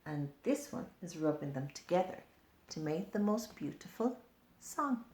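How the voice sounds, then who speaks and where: Slow, animated woman storyteller in an echoey room. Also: deep voice, slight background noise. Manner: simple, repetitive, questioning phrases for young children. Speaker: storyteller for children. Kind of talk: children's story time read-aloud with simple explanations. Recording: roomy, echoing indoor sound.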